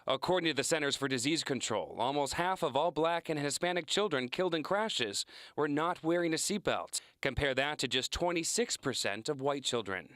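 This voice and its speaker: A man's voice: a little high, lively intonation, moderate pace, a bit bright, dry recording, studio quality. A man narrating a scripted investigative news report as a television reporter.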